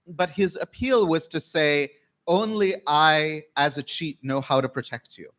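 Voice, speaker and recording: A man from Canada giving an academic keynote lecture, speaking in measured phrases and pausing often. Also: on the high side, a bit bright.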